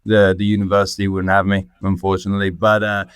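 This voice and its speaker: A man's voice: low voice, monotone, fast talker, clear, resonant tone, no echo, studio quality. A man of about thirty with a southern English accent, chatting informally and self-deprecatingly as an interview guest. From England, near Oxford. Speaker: guest, student. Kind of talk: casual personal chat.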